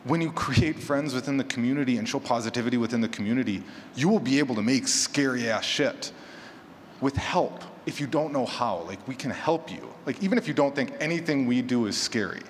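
A man's voice: mid-range voice, fairly quick. A man giving emphatic, informal, encouraging advice as a panelist. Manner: encouraging, emphatic, casual swearing. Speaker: panelist and podcaster. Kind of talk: informal motivational panel talk. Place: large convention room with audience. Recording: echoey hall PA, noisy room.

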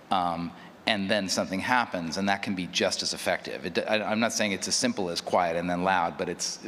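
A man's voice: low-pitched, a bit bright. A man speaking off the cuff as a panelist, thoughtfully explaining and feeling his way through his points. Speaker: panelist, audio drama producer. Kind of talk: unscripted panel discussion. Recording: echoey hall, clear background noise.

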